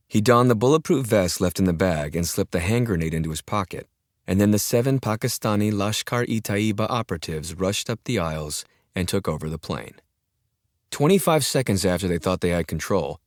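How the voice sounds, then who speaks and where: A man's voice: low-pitched, darkish tone, no room sound. A man reading a thriller audiobook as a dramatic scripted narration, recorded close in a studio. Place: dry studio, close mic.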